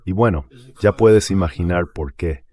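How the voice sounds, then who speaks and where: A man's voice: deep voice, moderate pace, a little mellow, no echo, studio quality. A man reading a dubbed political and military commentary in an emphatic, explanatory way, in short declarative sentences. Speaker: translated voice-over of an interview guest.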